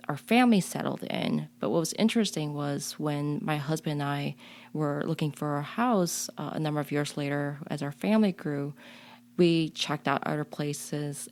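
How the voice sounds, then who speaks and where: A woman's voice: sits low, gravelly, darkish tone. A woman raised in Chicago, speaking earnestly and reflectively as an interview guest, often restarting her sentences. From born in a refugee camp on the Cambodia-Thailand border, raised in Chicago. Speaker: guest, community leader. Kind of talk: interview conversation.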